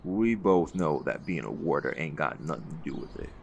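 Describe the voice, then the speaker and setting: A man playing a seasoned, folksy leader of a hunt in an audio roleplay, gravelly and reassuring, with a fond teasing streak. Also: rumbling low, expressive, normal speed, slight background noise, heavily acted. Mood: warm, reflective.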